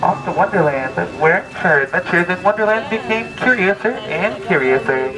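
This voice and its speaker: High-voiced male boat ride guide, distant. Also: animated. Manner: theatrical, drawn-out emphasis on key words. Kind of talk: scripted storytelling tour narration. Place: outdoors aboard a tour boat. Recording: distant amateur recording with loud background music. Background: music and ride ambience.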